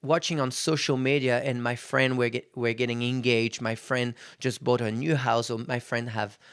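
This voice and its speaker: A man in his thirties at an ordinary mid pitch, hosting his own podcast in a loose, unscripted monologue. He talks frankly, swears without restraint and keeps turning to his listeners as guys. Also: flat delivery, normal speed, a bit bright, clean tone. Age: 30s. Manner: candid, swears freely, addresses listeners as guys. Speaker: podcast host. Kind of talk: casual motivational monologue.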